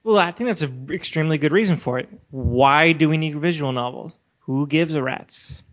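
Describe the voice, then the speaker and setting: A man's voice: on the high side, normal speed. A man co-hosting a casual podcast, talking in a challenging, argumentative debate style. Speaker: podcast co-host. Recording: slightly dull, lo-fi audio.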